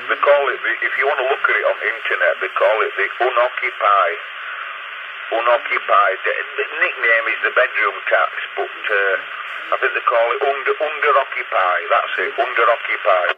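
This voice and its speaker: A Northern English man talks over a tinny, very noisy CB radio link at a middling pitch. He is blunt, opinionated and sweary, in loose casual chat. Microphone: CB radio handset. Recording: tinny CB radio, heavy noise.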